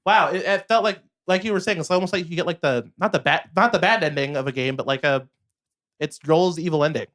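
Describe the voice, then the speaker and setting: A man's voice: on the high side, brisk, fairly bright tone. A man co-hosting a podcast in casual chat, rambling and hesitant, often restarting his sentences. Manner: rambling, hesitant, restarts a lot, laughs easily. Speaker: podcast co-host.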